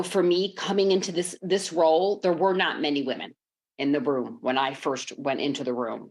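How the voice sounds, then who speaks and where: A woman from Chicago, a sports executive, telling her life story in a podcast interview over a remote call, earnest and reflective with many ums and uhs. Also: sits low. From Chicago, United States.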